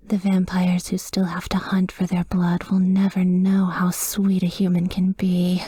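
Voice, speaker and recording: A woman performs a devoted female vampire, wistful and loving, in an intimate ASMR roleplay. Her whisper is breathy and slow, with little rise and fall in pitch. She sounds tender and hushed throughout. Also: no echo, studio quality.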